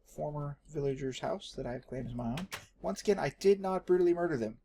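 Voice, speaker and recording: A man's voice: on the high side, a little fast, dark tone. Texan man rambling over gameplay, faint noise. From Texas, United States. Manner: jokey, self-deprecating, talks to himself while playing. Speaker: gaming video host. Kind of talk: casual rambling gameplay commentary. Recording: home recording with faint background noise.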